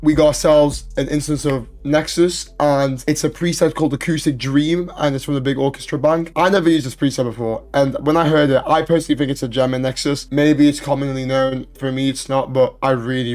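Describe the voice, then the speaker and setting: A young man talks through a beat-making tutorial with a hoarse voice, having lost it. He speaks in a relaxed, chatty way at a mid pitch, into a mic in a small home studio. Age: young adult. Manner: relaxed, chatty, fillers like "you know". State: hoarse, has lost his voice. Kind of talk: casual screen-recorded tutorial. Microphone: studio mic in front, wearing headphones.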